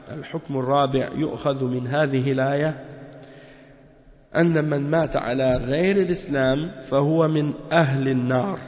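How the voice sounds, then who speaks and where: A gravelly-voiced man with a cold gives a religious lesson on Quran exegesis, racing through Arabic passages and then unpacking them slowly. He stumbles, repeats words and corrects himself as he goes. The recording is dull and lo-fi, with a long echoing reverb. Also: medium pitch, darkish tone. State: has a cold.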